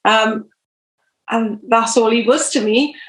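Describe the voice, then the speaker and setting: Welsh woman with a higher voice, echoey call. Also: slow pace. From South Wales. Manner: rambling storyteller with many ums. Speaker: podcast guest. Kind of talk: telling her faith testimony. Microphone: video call. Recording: echoey room over video call.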